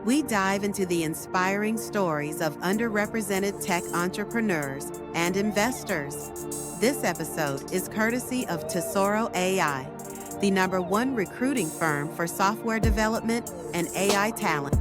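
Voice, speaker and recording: A woman's voice: mid-range voice, animated, normal speed. Woman reading a podcast intro over music. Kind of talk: scripted intro read.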